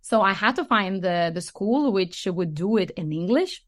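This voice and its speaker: A woman's voice: medium pitch, lively intonation, normal speed. Talkative Lithuanian woman in an interview. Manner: talkative, explanatory, often says "you know" and "right". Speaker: podcast guest, motorcycle rider. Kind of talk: casual interview chat.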